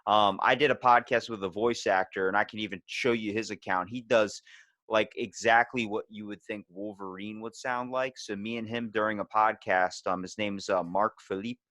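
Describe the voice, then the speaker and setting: A man's voice: lowish voice, steady tone, moderate pace, a bit bright, no echo. A man hosting a podcast in casual, joking chat with some swearing. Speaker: podcast host.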